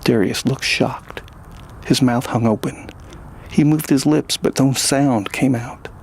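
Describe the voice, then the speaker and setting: A man narrating a scripted science fiction story in a measured, dramatic manner. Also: medium pitch, husky.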